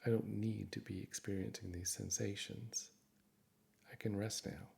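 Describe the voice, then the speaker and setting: A man narrating a guided meditation in a gentle, reassuring, instructive manner. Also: fairly low pitch, little pitch movement, a little slow, creaky.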